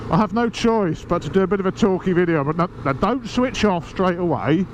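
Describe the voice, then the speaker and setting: A man's voice: high-pitched, animated, brisk. Snuffly man vlogging from a motorbike. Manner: chatty, cheeky and self-mocking, swearing now and then. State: snuffly from hay fever. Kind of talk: casual talking vlog while riding. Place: riding a motorbike outdoors on urban roads. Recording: loud wind and traffic noise.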